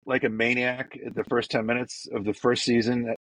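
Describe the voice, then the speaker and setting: Husky man chatting excitedly. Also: mid-range voice, normal speed, no echo, poor audio. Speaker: podcast co-host. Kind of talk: casual chat.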